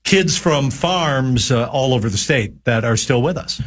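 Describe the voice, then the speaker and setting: A man in his seventies from Minnesota, a regular guest chatting on a radio show, telling stories in a halting, folksy way with many pauses. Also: mid-range voice, animated. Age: 70s. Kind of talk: casual radio chat.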